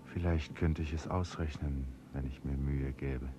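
A man playing a reflective narrator who recalls a mysterious year long ago, speaking in a measured, brooding, literary way in a radio play. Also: rumbling low, fairly even intonation, lo-fi sound, noisy background. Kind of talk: 1970s German radio play monologue. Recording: studio radio play with music behind. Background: music.

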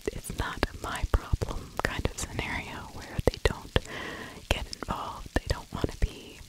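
A middle-aged woman whispering true crime ASMR close to the microphone, breathy, with opinionated conversational asides. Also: a little slow, low-quality recording. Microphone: close microphone with furry windscreen.

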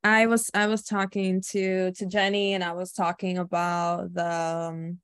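A young woman from New York leading a casual group discussion on a video call, relaxed and full of fillers. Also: lowish voice, measured pace, clear, resonant tone, dry recording, clean studio sound. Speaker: workshop facilitator. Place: home room with a slanted ceiling. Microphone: laptop webcam on a video call.

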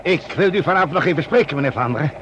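A courteous, halting male doctor in a radio drama. His voice is a little high with lively intonation. There is faint noise on the old recording. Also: highs cut off. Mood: polite, insistent. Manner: formal, halting. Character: polite, hesitant male doctor. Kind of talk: Dutch radio play acting.

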